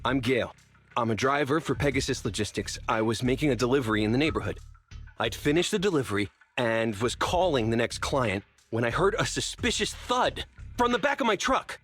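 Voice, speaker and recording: A man playing an indignant, accusing delivery truck driver in a video game, with a husky voice. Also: mid-range voice, animated, brisk, fairly bright tone, faint music behind. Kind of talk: video game character acting.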